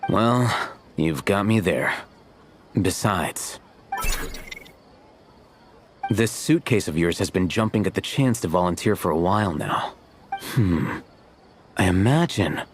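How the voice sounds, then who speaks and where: An actor playing a terse, deadpan young man scholar with dry, aloof replies, in a game cutscene. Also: low-pitched, a little slow, slight background noise. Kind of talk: video game cutscene voice acting.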